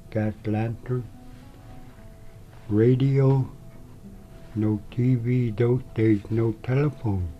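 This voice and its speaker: An older man from Wales, Alaska, recalling his childhood in a halting, plain-spoken way. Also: on the low side. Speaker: elder interviewee. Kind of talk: oral history recollection. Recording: slightly dull recording with faint music behind.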